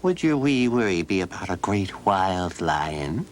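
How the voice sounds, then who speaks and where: A man voicing a tiny green fairy man who speaks seriously and gives measured, kindly advice. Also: sits low, big pitch swings, slight background noise. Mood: earnest. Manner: earnest, measured advice-giving.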